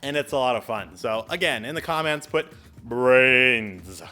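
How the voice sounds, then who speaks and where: Bright, animated man hosting over music. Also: mid-range voice, unhurried. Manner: animated, exclamatory, with playful exaggerated asides. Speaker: video host giving public speaking tips. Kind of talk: casual, chatty explainer with acted-out examples.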